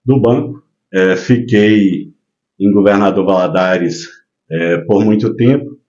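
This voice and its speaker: 60s guy from minas gerais, laid back storyteller, lots of "é" pauses, kinda dull muffled audio. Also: lowish voice. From Minas Gerais, Brazil. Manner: relaxed storytelling with frequent hesitations. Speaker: guest, local businessman. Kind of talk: casual interview chat.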